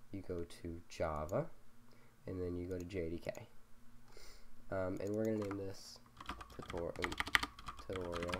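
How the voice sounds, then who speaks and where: A young man with a slight cold, explaining coding steps in a casual, halting way full of ums. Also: low voice, dark tone, a little hiss or hum. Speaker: programming tutorial host. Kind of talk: screen-recorded coding walkthrough.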